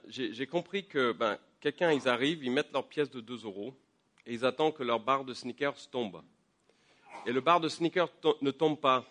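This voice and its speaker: A man preaching a sermon while he has a head cold. He talks conversationally, with many fillers and repeated words, and his voice climbs higher and louder as he builds to a point. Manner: rises in pitch and volume when building to a point. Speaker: pastor. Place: church.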